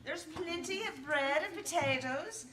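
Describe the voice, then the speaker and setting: A woman playing a brisk, protective, sharp-tongued mother of a poor family in a staged radio drama. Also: high-pitched. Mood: motherly. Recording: echoey live stage recording.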